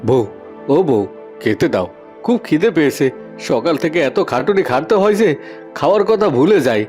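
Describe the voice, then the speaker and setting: Homely, hardworking farmer husband. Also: male voice, fairly high pitch, very animated, moderate pace, a little mellow, soft background music. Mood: tired, hungry. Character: tired, hardworking young village farmer husband. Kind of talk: cartoon voice acting.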